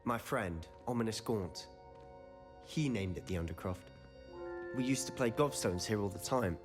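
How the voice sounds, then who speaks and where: A man's voice: on the low side, lively intonation, moderate pace, faint music behind, close to an everyday voice. Man playing a confiding teenage wizard boy, mischievous. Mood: confiding, earnest. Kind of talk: video game dialogue acting.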